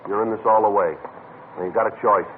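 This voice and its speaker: Casting: man as a deadpan police sergeant, low fast voice, tinny old radio sound. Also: slight background noise, lightly acted. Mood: interrogating. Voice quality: clipped, flat deadpan delivery. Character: terse male police sergeant, middle-aged, unflappable. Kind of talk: 1950s radio drama acting.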